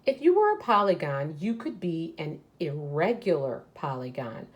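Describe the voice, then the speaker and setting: A woman's voice: sits low, sing-song, normal speed, a little hiss or hum. A woman teacher reading a children's educational book aloud and explaining it to young pupils as she goes. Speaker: third grade teacher.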